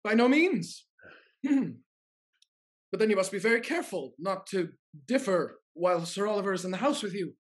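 A man in his thirties playing a discreet, formal family confidant in a period stage comedy, measured and earnest. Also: high voice, animated, husky. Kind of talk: period comedy stage acting over video call. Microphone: video call.

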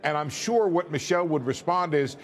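A middle-aged man commentating in a live TV debate over a remote link, arguing his point in a measured, conversational way. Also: a little high, slight background noise. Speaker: news commentator. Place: indoor room.

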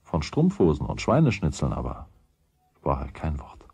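An actor playing the storyteller, narrating a German children's radio play from a script. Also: male voice, very deep voice, wildly swooping pitch, moderate pace, warm tone, breathy edge, no room sound, exaggerated voice. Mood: calm storytelling. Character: male storyteller of a children's tale.

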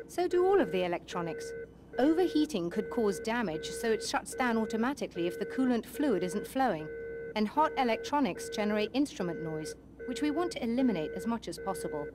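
An actress playing a brisk, skeptical young woman scientist in a video game, speaking in a precise, matter-of-fact way. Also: fairly high pitch, very animated, noisy background. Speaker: scientist operating a telescope. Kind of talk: video game character dialogue. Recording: music behind game dialogue.

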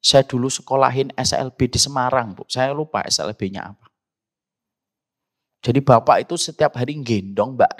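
A middle-aged man with a Javanese accent preaching in a conversational way, speaking directly to his listeners as he tells and explains. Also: medium pitch. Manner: conversational, addresses listeners directly with frequent asides. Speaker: Islamic preacher. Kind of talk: sermon with personal storytelling.

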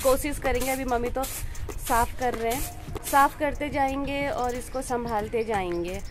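A woman's voice: sits high, moderate pace, faint music behind. A young woman narrating her day to a phone held at arm's length, outdoors at a rural house. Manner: chatty, narrating to the phone camera. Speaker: vlogger. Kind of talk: casual daily vlog narration.

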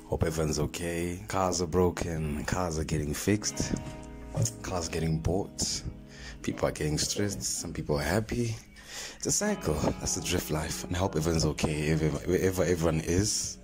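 A man's voice: low-pitched, fairly even intonation, moderate pace. A young man from Zimbabwe with a Zimbabwean accent, chatting casually to camera in an informal storytelling way, like a vlogger speaking close into a phone. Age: young adult. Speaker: vlogger, drift fan page host. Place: small plain indoor room. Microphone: phone held close to the mouth. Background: background music.

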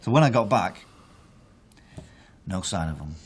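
A man's voice: low voice, animated, a little slow, breathy edge, heavily acted. An actor playing an aggrieved, aggressive man in a naturalistic radio drama, British, confrontational and sarcastic. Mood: indignant. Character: aggrieved, aggressive conned man out for his money.

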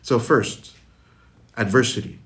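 A man's voice: medium pitch, a bit bright. Formal man giving a prepared speech. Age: middle-aged. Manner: formal, measured, eloquent. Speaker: school official giving closing remarks. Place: indoor room with curtains, recorded address.

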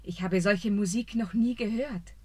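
An actress playing a proud but kind young queen in a radio play, speaking in a stately, measured way. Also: medium pitch, close to an everyday voice.

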